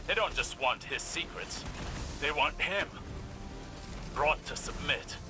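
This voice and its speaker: An actor playing a grave, mysterious ally in a video game, speaking in terse dramatic phrases. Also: male voice, on the high side, animated, slow, over music. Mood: grave, focused.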